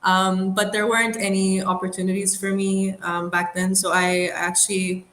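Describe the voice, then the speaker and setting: A young woman from the Middle East, an aerospace engineer, telling her story in a thoughtful, unhurried way with light laughs. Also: mid-range voice, flat delivery, fairly bright tone. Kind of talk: reflective interview storytelling. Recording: roomy with faint background noise.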